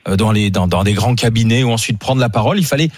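A man's voice: on the low side, lively intonation, fast, no room sound. Man hosting a radio talk show, dry sound. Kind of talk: animated panel discussion, conversational.

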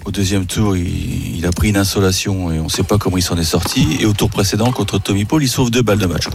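A man's voice: deep voice, fast, gravelly, background noise. Chatty male tennis reporter on radio. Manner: chatty, rambling, self-correcting. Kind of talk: casual sports radio chat.

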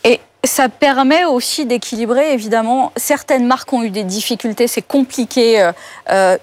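A woman's voice: fairly high pitch, normal speed. A woman interviewing a guest, asking probing questions with short acknowledgments in between. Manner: brief backchannels, probing questions.

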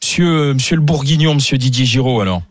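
A man hosting a talk radio debate, brisk and lively, steering the panel with questions. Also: medium pitch, no echo. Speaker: radio show host.

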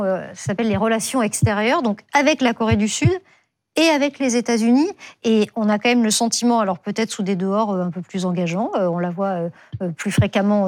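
A woman's voice: mid-range voice, darkish tone. A woman hosting a TV news panel, speaking with many hesitations. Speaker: TV news host.

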